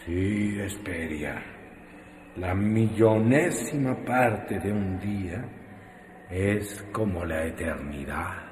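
A man's voice: deep voice, slow pace, darkish tone. A man with a Mexican accent acting a brooding, mocking character in a rural radio drama, over a noisy recording.

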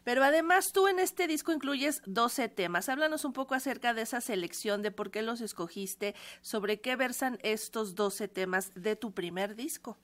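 A woman's voice: fairly high pitch, normal speed, few pauses, a bit bright. A woman with a Mexican accent hosting a show, presenting and interviewing a guest. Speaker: radio and video show host.

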